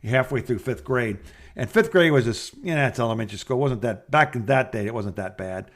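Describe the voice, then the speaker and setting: A middle-aged man co-hosting a podcast, telling anecdotes in a casual, halting and rambling way. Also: medium pitch, animated. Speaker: podcast co-host. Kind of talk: casual phone chat, storytelling.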